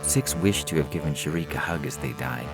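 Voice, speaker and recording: A low-pitched man reads a science fiction story in a scripted narrating style. Soft music runs underneath his voice. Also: brisk, vocal fry. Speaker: podcast story reader.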